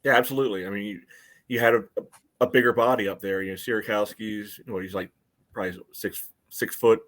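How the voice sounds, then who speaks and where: Male American podcast co-host, slightly deep voice, conversational and halting, talking through soccer tactics casually. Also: steady tone, a bit bright.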